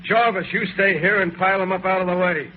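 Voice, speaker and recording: An actor playing a domineering villainous mine boss who gives curt orders in an old-time radio drama. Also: male voice, sits high, steady tone, fairly quick, lightly acted. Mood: brisk, commanding. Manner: curt, bossy, clipped orders. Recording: tinny, narrow vintage radio recording, faint noise.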